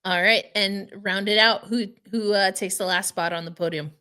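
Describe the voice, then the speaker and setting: A woman's voice: mid-range voice, fairly even intonation, moderate pace, pushed and bright, clear, resonant tone. A woman hosting a sports podcast over a video call, playful and chatty, sometimes stumbling over her words. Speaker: podcast host. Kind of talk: casual podcast chat.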